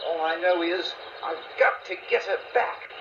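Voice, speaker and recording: A man's voice: fairly high pitch, moderate pace, noisy background, lightly acted. A man acting an earnest adventurer in a children's audio story. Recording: roomy, dull toy playback.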